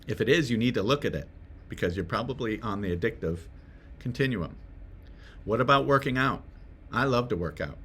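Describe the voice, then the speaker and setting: A man's voice: medium pitch, a bit bright, a little hiss or hum. A man giving a conversational self-help talk to the viewer, often asking rhetorical questions and checking in with "right?". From Swedish family roots. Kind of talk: direct-to-camera motivational talk.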